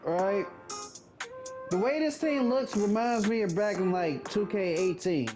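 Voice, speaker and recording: 30 yr old guy talking gaming, laid-back and blunt, cusses, pretty high voice, music going behind him. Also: a little slow. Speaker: gaming commentator. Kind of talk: casual gameplay commentary.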